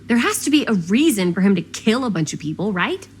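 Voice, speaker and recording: A woman's voice: mid-range voice, very animated, fairly quick, a little hiss or hum, natural voice. An actress playing a dramatic, sarcastic and inquisitive young American woman in a video game, talking in casual slang with a theatrical edge. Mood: curious. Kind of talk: naturalistic video game acting.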